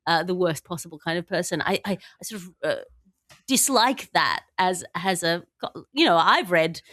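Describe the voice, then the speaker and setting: Low-voiced woman hosting a comedy podcast. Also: animated, moderate pace, a bit bright, no room sound, clean studio sound. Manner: wry, digressive, mock-grandiose. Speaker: podcast host. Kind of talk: comedic panel podcast chat.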